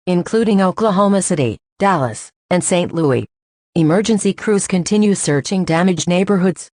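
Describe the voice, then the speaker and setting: A woman's voice: lowish voice, a little mellow, no room sound, natural voice. A woman playing a serious, composed TV news anchor, formally reading a scripted breaking news report.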